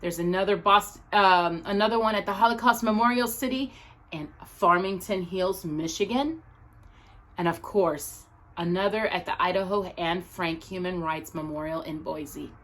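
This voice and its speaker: Woman, lowish pitch, storytime read-aloud host, earnest, deliberate phrasing. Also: fairly bright tone.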